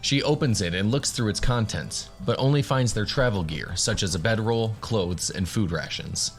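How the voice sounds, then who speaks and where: A man's voice: fairly low pitch, moderate pace, faint music behind. A man narrating a scripted fantasy audio drama in the role of game master.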